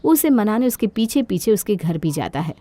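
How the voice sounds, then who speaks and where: A woman's voice: medium pitch, big pitch swings, a little fast, warm tone. A woman reading scripted storytelling narration for a cartoon moral story.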